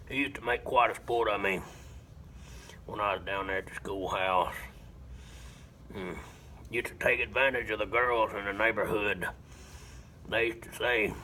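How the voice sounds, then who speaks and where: Casting: simple rural Southern man for film-style monologue; lowish, hard-edged voice, deadpan, halting, grunting hums. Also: a little hiss or hum. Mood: flat, reminiscing. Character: slow, simple-minded rural Southern man confessing killings flatly. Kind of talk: dramatic film monologue rendition.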